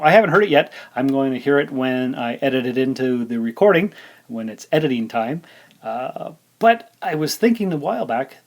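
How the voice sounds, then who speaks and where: A man hosting his podcast, talking in a casual, rambling way with fillers. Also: medium pitch. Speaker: podcast host. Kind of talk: casual solo podcast chat.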